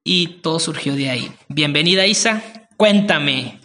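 A man hosting a casual podcast interview, speaking in a warm, rambling way with frequent hesitations. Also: fairly high pitch, lively intonation, a little slow, a little hiss or hum. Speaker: podcast host.

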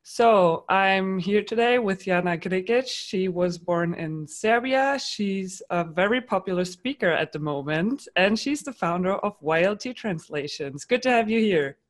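A woman's voice: medium pitch, expressive, a little slow, few pauses. A woman hosting an interview show, introducing her guest and asking prepared questions.

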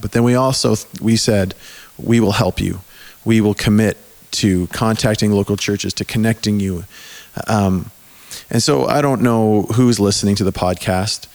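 A man hosting a podcast in casual chat, his voice hoarse and mostly gone, talking loosely and restarting his sentences. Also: lowish voice, darkish tone. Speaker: podcast host.

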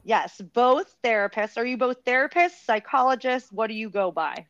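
A woman's voice: on the high side, animated, normal speed, a bit bright, no room sound. A woman hosting a casual podcast interview, telling her own story in a chatty way with plenty of "like.". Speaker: podcast host interviewing guests. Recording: dull dry lo-fi sound.

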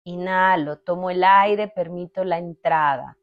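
A woman narrating a guided meditation in a calm, instructional manner with long pauses between short phrases. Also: on the low side, lo-fi sound. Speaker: meditation guide, podcast host.

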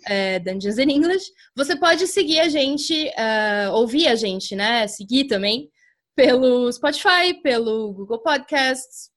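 Podcast host: Brazilian woman, high voice, informal and playful interviewing style. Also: animated, slow, fairly bright tone. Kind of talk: casual chat interview.

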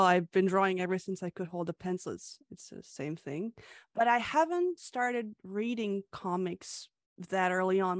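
Guest: young woman from Hungary, chatty with fillers, mid pitch, dry close mic. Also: no room sound. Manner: chatty, laughs often, frequent fillers. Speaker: comic creator, guest. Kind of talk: casual interview answers.

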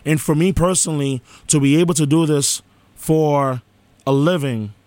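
A male radio host speaking in a slightly high voice with a sore, cracking rasp. He delivers earnest thank-yous and station promos. The studio sound is close and dry. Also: normal speed, a little mellow, no room sound. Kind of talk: live college radio show hosting. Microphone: studio microphone.

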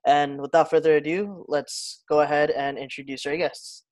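A young man moderating a live Q&A, asking questions in a relaxed way with fillers. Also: a little high, moderate pace, no echo. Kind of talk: hosting a live Q&A.

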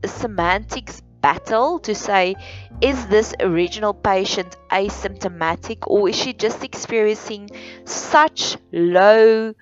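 A woman from South Africa with an Afrikaans accent, hosting a solo podcast in a slow, earnest, deliberate way with many pauses. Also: mid-range voice. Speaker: podcast host. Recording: dull lo-fi sound with faint music.

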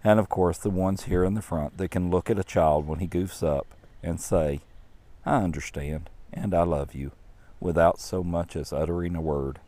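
A man reading as the Lord, deep and earnest. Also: steady tone, moderate pace, warm tone. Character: the Lord, a tireless male creator, earnest and proud.

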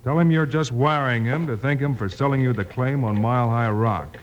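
An actor playing a calm, shrewd, commanding lawman hero in a western radio drama. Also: medium pitch, brisk, lo-fi sound, close to an everyday voice. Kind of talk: 1950s western radio acting. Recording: noisy old radio recording.

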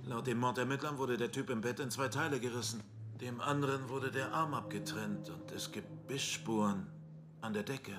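An actor playing a deadpan, suspicious police detective in a dubbed TV drama, stressing his points by repeating them. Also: medium pitch, moderate pace, background noise, natural voice. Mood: baffled.

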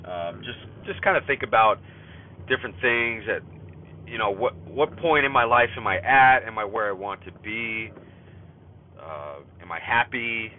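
A man's voice: fairly low pitch, lively intonation, measured pace. A man in his thirties with a stuffy cold, hosting a podcast and talking through a casual spoken journal in a rambling way, full of fillers and restarts, while he drives. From California. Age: 30s. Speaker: podcast host. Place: in a car while driving. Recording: phone-like line, faint car noise. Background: car road noise.

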